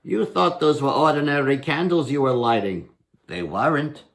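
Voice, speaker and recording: Storybook hero older man acting: unafraid village trickster, teasing and bold, pitched up and lively. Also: moderate pace. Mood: defiant. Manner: bold, mocking, dismissive.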